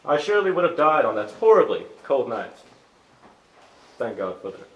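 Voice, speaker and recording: A man's voice: mid-range voice, very animated, moderate pace, highs cut off, lightly acted. A man playing a friendly young soldier with hearty theatrical delivery in a staged reading. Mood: grateful. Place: indoor stage at an arts venue. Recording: echoey stage, faint background noise.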